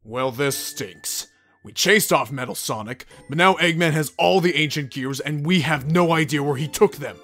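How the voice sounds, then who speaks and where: A man's voice: a little high, normal speed, fairly bright tone. A man playing a brash, blunt, competitive red echidna fighter in a comic dub. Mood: grumpy, sceptical.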